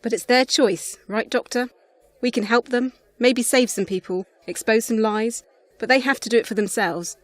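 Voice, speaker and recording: A woman playing a warm-hearted, earnest space-travelling companion in an audio drama. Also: fairly high pitch, moderate pace, dry recording, lightly acted. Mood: earnest, reassuring. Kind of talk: fan audio drama acting.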